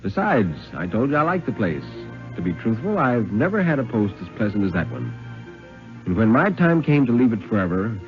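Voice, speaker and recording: A man playing a steely, haunted lighthouse keeper who recounts his ordeal with dramatic, measured urgency in a 1950s radio reading. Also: fairly low pitch, very animated, faint music behind. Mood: wry, reflective. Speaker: story reader. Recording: dull vintage radio recording.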